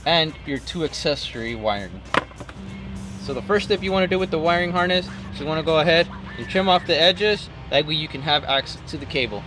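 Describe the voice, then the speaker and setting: Male how-to presenter, slightly high voice, informal and instructional, clear music bed behind him. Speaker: product installation presenter. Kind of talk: casual step-by-step how-to tutorial.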